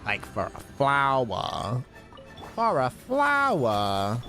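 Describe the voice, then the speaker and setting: Casual livestream gamer, lively swooping pitch, unhurried, exclamatory self-talk. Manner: animated, exclamatory, talking to self. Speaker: game streamer, host. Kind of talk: casual livestream gameplay chatter. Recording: game music behind. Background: video game music.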